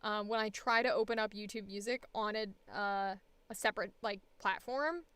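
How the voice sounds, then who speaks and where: A woman's voice: mid-range voice, moderate pace, a bit bright, clean tone, no echo. A woman voice actor chatting casually between auditions, thinking aloud in a rambling way, recorded close on a studio mic. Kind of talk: casual livestream chat while recording auditions.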